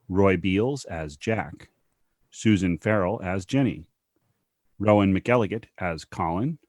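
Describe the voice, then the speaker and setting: A man with a very low voice reads a list of credits steadily and plainly. The recording is dry and close. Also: dry recording. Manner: plain, steady reading of names. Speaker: announcer reading credits. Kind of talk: read credits.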